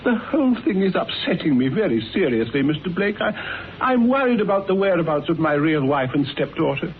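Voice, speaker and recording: An actor in a radio drama playing a fussy, flustered little editor who speaks formally and repeats himself. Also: male voice, on the high side, very animated, brisk. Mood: worried. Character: fussy, agitated, inoffensive little cat-magazine editor. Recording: tinny band-limited radio recording with hiss.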